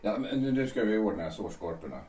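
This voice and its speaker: A man acting a quiet, fixated male theatre makeup artist in an audio drama, speaking in a calm, measured and insistent way. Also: lowish voice, echoey room, recorded from a distance, close to an everyday voice.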